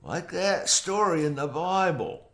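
A man's voice: sits high, measured pace, a bit bright. An actor playing a folksy, wary backwoods man in an audio drama, plainspoken, hesitant and rambling. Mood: musing.

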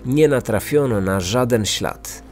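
A man narrates a scripted true-crime podcast as its host. His pitch is average for a male voice, and music plays underneath. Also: unhurried.

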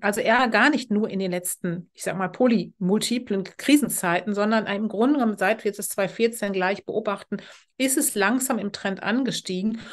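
A middle-aged woman giving an explanatory expert talk on an online panel, often correcting herself and adding asides, speaking over a video call from a room. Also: fairly high pitch.

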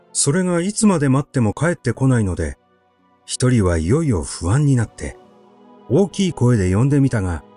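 A man narrating a literary short story in a measured, expressive storytelling manner. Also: mid-range voice. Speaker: audiobook narrator.

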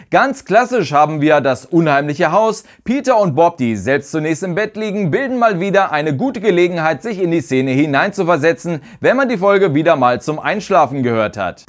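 A man in his thirties narrating a scripted review in a measured, articulate way as the host. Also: a little high. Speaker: host of a ranking video about audio dramas. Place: small furnished room indoors.

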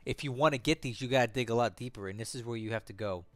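A man's voice: mid-range voice, fast talker, fairly bright tone, no room sound. Stuffy-nosed man talking through a tutorial. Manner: conversational, repeats "right?" and "okay?", thinks aloud. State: stuffy from a cold. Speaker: strategy game tutorial host. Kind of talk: casual screen-share tutorial.

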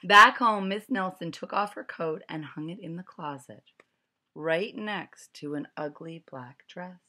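A woman's voice: on the low side, a little slow, sharp tone. A middle-aged woman reading a picture book aloud to children at home, recorded on a webcam. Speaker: storyteller. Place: small furnished room at home. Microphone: webcam at arm's length.

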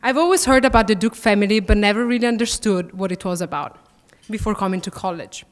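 A young woman in her twenties with an Italian accent, a student athlete giving a prepared speech at a podium, warm and gently self-deprecating. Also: mid-range voice, lively intonation, fast. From Italy. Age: 20s. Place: large banquet hall, at a podium. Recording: reverberant banquet hall.

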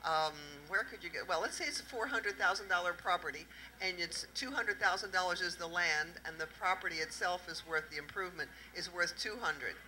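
A middle-aged woman with a Southern US accent, a tax accountant giving a lively talk at an indoor event and quizzing the audience through a handheld microphone. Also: lowish voice, brisk, hardly any pauses, poor audio. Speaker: tax accountant presenting at a panel. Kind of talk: interactive talk to a live audience.

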